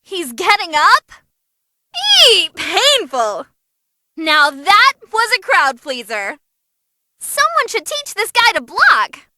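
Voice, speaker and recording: A young female ringside announcer from a fighting game. Her voice sits extremely high and very bright, swinging widely as she commentates. She is bubbly, theatrical and teasing, with an open, gleeful taste for brutality. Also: full character voice.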